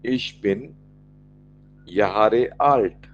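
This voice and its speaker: A man with an Indian accent, a native Marathi speaker, teaching a German lesson and slowly repeating phrases for learners. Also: lowish voice, muffled recording, a little hiss or hum. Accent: Indian English. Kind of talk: language lesson.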